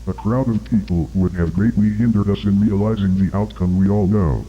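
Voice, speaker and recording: A man's voice: on the low side, steady tone, moderate pace, dull audio, slight background noise, natural voice. A man playing an evasive, guarded agency commander in a scripted horror interview, speaking formally. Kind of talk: scripted analog horror dialogue.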